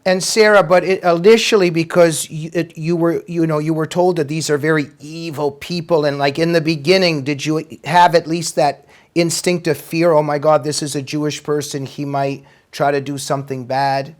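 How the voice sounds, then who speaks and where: Guy hosting an interview, kinda high voice, keeps restarting his questions. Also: flat delivery, normal speed, few pauses. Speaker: host. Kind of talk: interview host.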